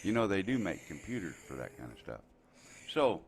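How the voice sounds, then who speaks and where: A man with a lowish voice hosts a casual live stream, joking and chatting as he greets viewers by name. A faint hiss of noise sits under his voice. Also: sing-song, normal speed. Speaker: live show host.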